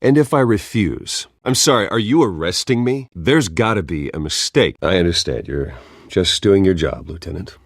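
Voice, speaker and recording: An actor playing a composed, guarded billionaire vigilante in a video game, speaking in a measured, serious way. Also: male voice, low voice, expressive, dry recording, clean studio sound. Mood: guarded, questioning. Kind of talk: restrained video game acting.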